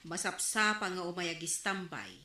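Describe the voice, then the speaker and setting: Bright, teasing Ilocano village woman. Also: lowish voice, big pitch swings, normal speed, reverberant. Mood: gossipy. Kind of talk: Ilocano radio comedy drama acting.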